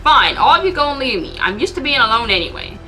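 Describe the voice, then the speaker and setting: Woman doing a smug rude rich guy, dismissive, bright voice. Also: a little high, sing-song, fast talker, rough recording.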